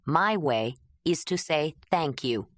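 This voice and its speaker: A gentle, patient man, as a woman reader puts him on talks in a calm, low, reassuring voice. He sounds steady and kind. The recording is dry and close. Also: slow, dry recording.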